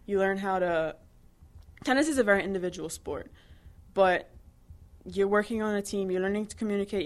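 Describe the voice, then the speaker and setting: A woman in her twenties from Barbados, answering interview questions in a chatty way, with many fillers and restarts. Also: mid-range voice. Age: 20s. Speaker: interviewee, new employee.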